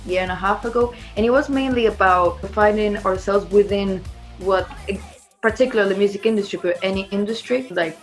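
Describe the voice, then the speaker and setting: A woman talks casually as a musician in an interview. Her pitch is mid-range and her delivery is loose and chatty, with faint music behind her. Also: a little fast. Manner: informal, loosely strung, chatty. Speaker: band singer and songwriter being interviewed. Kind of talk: casual chat.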